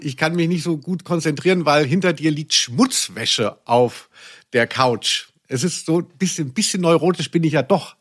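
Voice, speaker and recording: A man with a husky voice, hosting a podcast in a playful, tongue-in-cheek, mock-sentimental way. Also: a little high, fairly bright tone. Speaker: podcast host. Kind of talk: playful scripted intro and casual banter.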